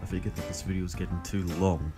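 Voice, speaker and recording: A man's voice: low-pitched, flat delivery, fast talker, over loud music. A man reading fanfiction aloud with frequent slips and restarts, then chatting casually about it. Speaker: fanfiction reader.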